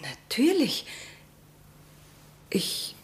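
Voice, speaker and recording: A woman's voice: fairly high pitch, wildly swooping pitch, drawn-out words, exaggerated voice. An actress playing a polite, self-assured married woman giving a witness account in a radio crime drama. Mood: puzzled, sure.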